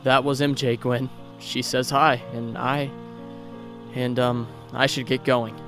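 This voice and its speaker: An actor playing a tender, grieving young male superhero in a comic dub, speaking in an earnest, halting, reflective way. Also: mid-range voice, flat delivery, a bit bright. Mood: wistful, grieving. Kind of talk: comic dub, dramatic monologue. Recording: music under the voice. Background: music.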